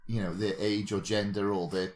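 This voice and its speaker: A man's voice: lowish voice, flat delivery, moderate pace. A man co-hosting a podcast, joking and bantering in casual conversation. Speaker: podcast co-host.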